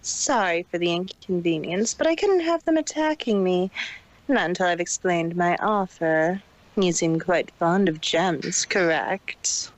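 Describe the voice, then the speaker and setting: A man's voice: sits high, unhurried, voice pushed up, low-quality recording. A man voicing a cold, scheming female unicorn pony villain who talks in a detached, condescending way.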